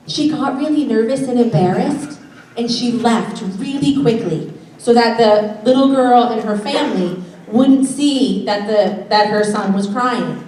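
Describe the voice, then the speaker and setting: High-voiced woman teacher in an echoey room. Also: a little mellow, distant mic. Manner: simple, deliberate, question-and-answer teacher talk. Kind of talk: explaining to young children before a read-aloud. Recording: echoey room from afar, faint noise.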